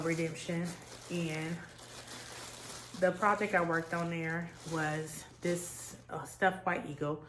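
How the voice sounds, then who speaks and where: Hoarse woman chatting about crochet. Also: sits low, background noise. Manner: rambling, relaxed, many ums. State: hoarse after flu. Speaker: crochet vlogger. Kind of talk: casual chat.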